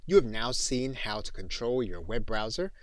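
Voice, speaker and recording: A man giving deliberate, instructional narration as the instructor in a software tutorial. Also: lowish voice, expressive, no echo.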